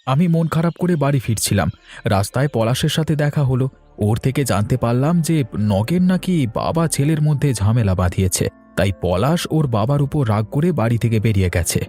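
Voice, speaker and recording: A man's voice: mid-range voice, animated, normal speed, warm tone, soft background music, lightly acted. A man playing a sympathetic, moralising village man in a cartoon folk tale. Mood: troubled.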